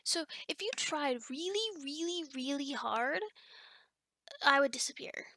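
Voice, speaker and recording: A woman's voice: sits high, animated, a little slow, bright, forceful tone, full character voice. A woman voicing a chatty, teasing female sleep paralysis demon in an ASMR roleplay, talking in a casual, rambling, hesitant way. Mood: matter-of-fact, chatty. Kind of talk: ASMR roleplay, one-sided conversation.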